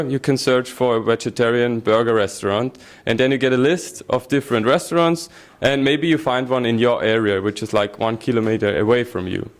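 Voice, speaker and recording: A man pitches his app at middle pitch. He explains through examples, and he voices what an imagined user might think. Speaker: startup founder presenting app. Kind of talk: pitch presentation.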